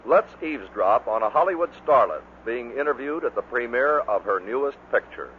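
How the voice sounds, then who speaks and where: A man's voice: mid-range voice, lively intonation, fairly quick. A man delivering scripted narration as the narrator of a bloopers record. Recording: noisy, tinny vintage radio recording.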